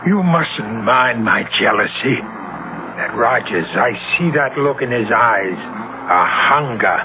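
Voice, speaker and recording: An actor playing a jealous, menacing sea captain in a 1970s radio drama, commanding and suspicious in manner. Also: male voice, mid-range voice, lively intonation, slow pace, narrow, tinny audio. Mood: brooding, jealous. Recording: noisy, band-limited old radio recording.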